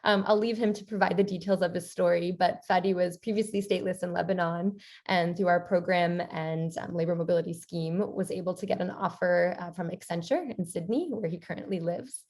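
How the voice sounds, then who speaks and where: A woman's voice: lowish voice, fairly even intonation, a little fast, darkish tone. A woman presenting on a panel as a programme director, hesitant, with frequent ums in the middle of her sentences.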